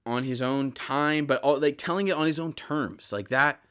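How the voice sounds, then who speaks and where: A man's voice: mid-range voice, moderate pace, a bit bright, clean tone. A young American man hosting a podcast solo, talking casually in a rambling way and acting out examples with animation. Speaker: podcast host.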